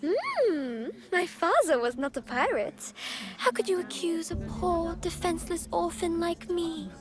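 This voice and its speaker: A very high, swooping voice of a sly orphan girl, theatrical and wheedling as she plays the innocent. She speaks slowly, as in a game cutscene, over clear music. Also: animated. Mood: plaintive, wheedling. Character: sly orphan girl accused of poisoning, playing the innocent victim. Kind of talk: video game cutscene acting.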